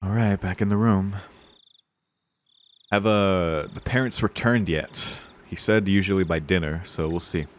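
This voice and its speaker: Deep-voiced man doing casual let's play commentary. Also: measured pace, no room sound. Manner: foul-mouthed, informal, emotionally invested. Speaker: streamer playing and reading a visual novel. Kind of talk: casual let's play commentary mixed with reading game text aloud.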